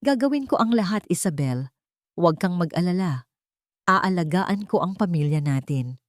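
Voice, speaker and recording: A woman's voice: lowish voice, animated, normal speed, dry recording, studio quality, natural voice. A woman voicing a devoted husband in an audio story, earnest and placating. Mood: devoted, reassuring. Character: reassuring, devoted husband calming his worried wife.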